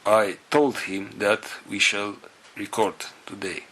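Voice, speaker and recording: A man with a non-native English accent giving a spiritual talk to followers in a solemn, halting manner, in short phrases. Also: on the low side, flat delivery, sharp tone, slightly rough. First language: not English. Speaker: spiritual group leader.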